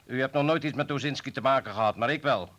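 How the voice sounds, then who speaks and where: A man's voice: medium pitch, fast, a bit bright, lo-fi sound, lightly acted. An actor playing a principled, indignant comrade in a radio play, firm and reproachful as he reasons. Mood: firm, challenging. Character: principled male comrade, indignant, defending an accused doctor.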